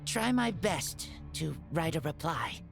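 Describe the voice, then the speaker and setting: A woman playing an earnest wolf-raised boy in a video game, speaking haltingly in short, simple phrases. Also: low-pitched, big pitch swings, gravelly, over music, exaggerated voice. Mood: earnest, determined. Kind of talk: scripted video game dialogue.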